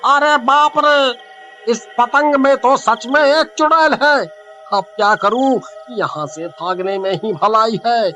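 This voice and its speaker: A man voicing a cowardly, excitable villager, alarmed and pleading, in a comic horror cartoon story. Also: very high-pitched, slow, heavily acted. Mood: scared. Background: music.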